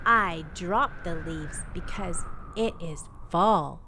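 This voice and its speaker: A woman's voice: lowish voice, slow pace, pushed and bright, full character voice. A woman voicing an exasperated, proud female autumn wind in a storybook, emphatic and theatrical. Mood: scornful. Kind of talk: children's picture book read-aloud.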